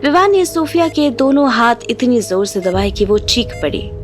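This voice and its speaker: A woman's voice: on the high side, sing-song, moderate pace. A woman reading scripted narration as the storyteller of an audio drama. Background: background music.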